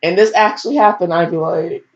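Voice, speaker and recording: A woman's voice: fairly low pitch, fairly quick. A teenage girl casually reading aloud and rambling off on goofy, self-deprecating asides. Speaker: fan fiction reader. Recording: dull lo-fi microphone.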